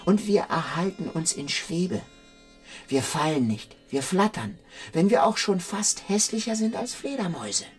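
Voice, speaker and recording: A man's voice: high-pitched, big pitch swings, a little slow, soft background music. An actor playing a tall, idle, teasing man who muses theatrically in a German literary radio drama. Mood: musing. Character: tall, idle, teasing man philosophizing while lying sprawled.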